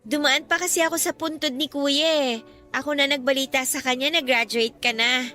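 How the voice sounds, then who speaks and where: A woman's voice: sits high, steady tone, normal speed, pushed and bright, faint music behind. A woman playing a bubbly, supportive younger sister in a Filipino radio drama, with a Filipino accent. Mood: affectionate.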